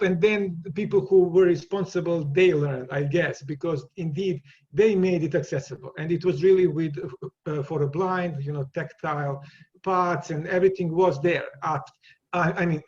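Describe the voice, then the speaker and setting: A man with a Serbian accent, answering interview questions in a loose, halting way, circling back and repeating words as he goes. His voice sits a bit high for a man and comes through dull and lo-fi. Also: darkish tone. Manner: halting, many repeated words and restarts, laughs easily. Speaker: guest. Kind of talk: casual interview conversation.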